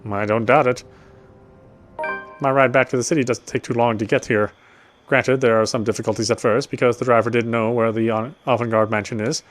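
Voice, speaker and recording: A man's voice: medium pitch, fairly even intonation, fairly quick, faint music behind. A man reading a game's narration aloud with casual commentary, now and then tripping over words. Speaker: let's player.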